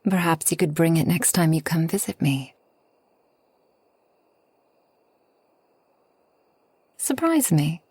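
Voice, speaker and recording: A woman playing a kind, long-lived forest witch in a soft ASMR roleplay, gentle and unhurried, often near a whisper. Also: deep voice, sing-song, husky, no room sound, exaggerated voice. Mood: curious, tender. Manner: gentle, unhurried, soothing, slightly formal speech.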